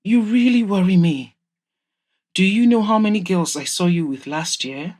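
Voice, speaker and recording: Woman playing an exasperated, sharp-tongued rich mother. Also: mid-range voice, moderate pace, lightly acted. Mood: worried, reproachful. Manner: scolding, sarcastic, emphatic. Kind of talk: audio drama storytelling.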